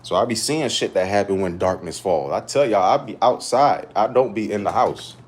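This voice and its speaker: A middle-aged Trinidadian man talks on a livestream in a blunt, rambling way, swearing as he goes. His voice sits a little low. The street noise of an outdoor spot stays clearly audible behind him. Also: normal speed. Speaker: livestream host. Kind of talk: casual livestream rant.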